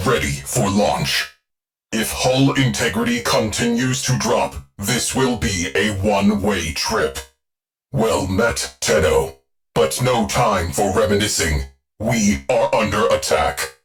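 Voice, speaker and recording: An actor playing a terse, dry male ship's AI officer who speaks in clipped, deadpan military orders for a video game. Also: fairly low pitch.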